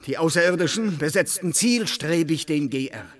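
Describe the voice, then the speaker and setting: Zealous, formal male official, higher-voiced. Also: animated, normal speed, a bit bright. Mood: rousing, solemn. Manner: formal, precise, declamatory. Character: zealous, irritable male research official in dystopian science fiction. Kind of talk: German radio play acting.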